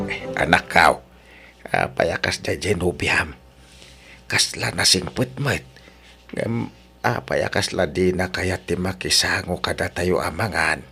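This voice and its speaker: Older father, acted by a man, Filipino accent, low-set raspy voice, humble, hospitable, rural audio drama. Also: bright, forceful tone, full character voice. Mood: puzzled, displeased. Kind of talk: Ilocano audio drama acting.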